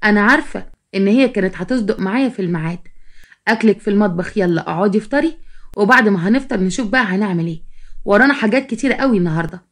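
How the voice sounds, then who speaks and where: A woman voicing a brisk middle-aged mistress of the house in a story, bossy under endearments, with an Egyptian Arabic accent. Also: medium pitch, expressive.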